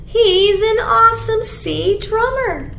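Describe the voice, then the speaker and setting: A middle-aged woman reading aloud as a children's storytime librarian, with an expressive, sing-song delivery and simple questions to the children. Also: extremely high pitch, slow, creaky. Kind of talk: animated read-aloud to young children. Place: small indoor room. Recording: roomy echo, faint background noise.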